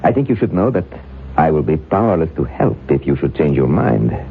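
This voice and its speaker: A man's voice: extremely low pitch, big pitch swings, brisk, vocal fry, full character voice. An actor playing a suave, scheming male occult author in a 1970s radio drama, talking in a silky, mock-gracious drawl with small hesitations. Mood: threatening. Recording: narrow hissy old radio broadcast.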